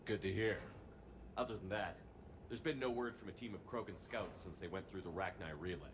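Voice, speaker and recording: Man acting a deep-voiced, terse space commander. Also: a little fast, close to an everyday voice. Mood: businesslike. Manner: terse, matter-of-fact. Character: seasoned male military commander, steady, terse, authoritative. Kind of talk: video game voice acting. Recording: noisy game ambience behind voice.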